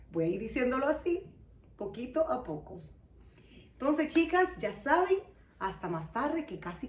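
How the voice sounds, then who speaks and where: High-voiced Dominican woman, distant and roomy. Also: a little mellow. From Dominican Republic. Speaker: vlog host. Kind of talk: casual vlog chat. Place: living room at home. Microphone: camera a few meters away.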